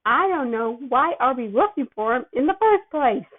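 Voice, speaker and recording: A woman's voice: high-pitched, animated, moderate pace. A woman voicing a mocking, irritable male superhero with sarcastic, needling questions, in an amateur story reading. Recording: thin, phone-like narrow-band sound.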